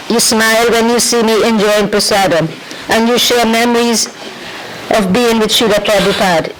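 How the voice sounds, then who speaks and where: A woman's voice: mid-range voice, slight background noise, low-quality recording. Earnest Trinidadian woman reading a tribute. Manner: earnest, prayerful, with small halting restarts. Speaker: disciple reading a homage. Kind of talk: reading a devotional tribute letter aloud.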